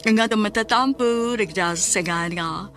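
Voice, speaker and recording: A woman's voice: medium pitch, measured pace, a bit bright. A woman delivering a scripted, dramatic introduction as the announcer of a radio drama.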